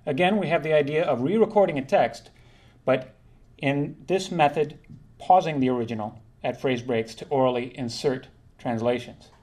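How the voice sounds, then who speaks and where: A man's voice: mid-range voice, a little mellow. Man reading a formal academic talk. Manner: measured, formal delivery with occasional self-corrections. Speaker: linguistics conference presenter.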